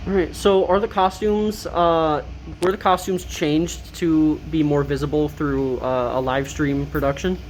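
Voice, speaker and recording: A man's voice: fairly high pitch, steady tone, a little slow, rough recording. Young man interviewing, faint noise behind. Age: young adult. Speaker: student interviewer. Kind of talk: casual interview questions.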